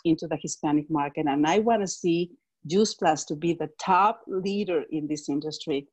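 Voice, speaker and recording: Woman, Mexican Spanish accent, middle-aged, lowish voice, enthusiastic, laughs often, video call earphone mic. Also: normal speed, husky. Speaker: direct sales executive.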